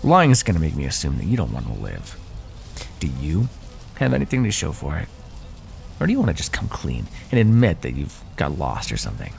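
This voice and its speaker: A man plays a predatory male naga with a very low voice in an audio fantasy roleplay. His delivery swings widely in pitch, smug and condescending as he toys with his prey. There is faint noise and ambience behind him. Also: huge pitch swings, fairly quick, gravelly, full character voice. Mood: smug, threatening. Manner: mocking, condescending, drawling pet names.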